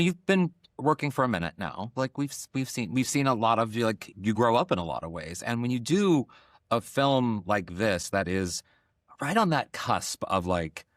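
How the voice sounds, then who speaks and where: A man's voice: on the low side, dry recording, clean studio sound. A man interviewing guests in a casual, chatty and enthusiastic way. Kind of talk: casual chat.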